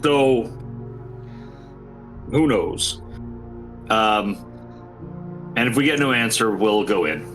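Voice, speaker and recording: A man with a slightly high, bright voice plays a tabletop game. His pitch moves in a lively way as he casually slips in and out of roleplay. There is soft music underneath. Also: slow, long pauses.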